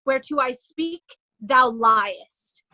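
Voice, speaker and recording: A woman playing a wronged noble knight in Shakespearean reader's theatre, declaiming deliberately as a challenger. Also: high-pitched, animated, pushed and bright, heavily acted. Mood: righteous challenge.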